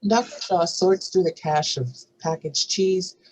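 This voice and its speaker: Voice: medium pitch, steady tone, a little slow, poor audio. A narrator reading script stage directions in a scripted narration style. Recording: faint background noise.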